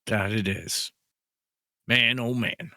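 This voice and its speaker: A man from Canada co-hosting a casual podcast, his voice a little rough, easygoing and rambling. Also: medium pitch, fairly even intonation, slow pace, pushed and bright, faint music behind. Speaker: podcast co-host.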